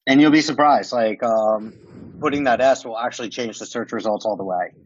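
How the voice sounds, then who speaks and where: A man's voice: medium pitch, brisk, no echo, muffled recording. A man whose accent mixes American and Romanian, talking casually as a podcast guest and walking through what he sees on screen with many fillers. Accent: American mixed with Romanian. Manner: loose explaining with many fillers like "like" and "um". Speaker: guest expert demonstrating software.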